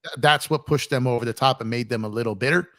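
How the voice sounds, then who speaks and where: An American man hosts a casual sports stream, with a hint of New York in his speech. He talks in slangy, animated, repetitive runs. The sound is very dry, with no room to it. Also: medium pitch, fast, dry recording. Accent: American with a touch of New York. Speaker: sports talk show host. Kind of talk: casual sports chat on a livestream.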